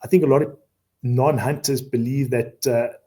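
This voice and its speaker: A man's voice: mid-range voice, steady tone, moderate pace, dark tone. A South African man in his fifties, a safari outfitter talking in a casual podcast conversation, earnest and prone to repeating words as he explains. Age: 50s.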